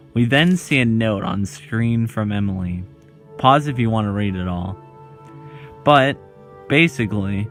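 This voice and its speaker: Male video host for scripted explainer narration. Slow delivery with dramatic pauses between short phrases, light music underneath. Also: fairly low pitch.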